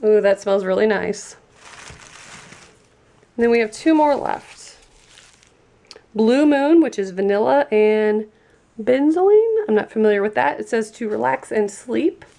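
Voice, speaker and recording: A woman's voice: fairly high pitch, animated, measured pace, gravelly, pauses often, warm tone. A woman reviewing and unboxing a gift set in a chatty, enthusiastic way, sounding stuffy and congested from a cold. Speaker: product reviewer.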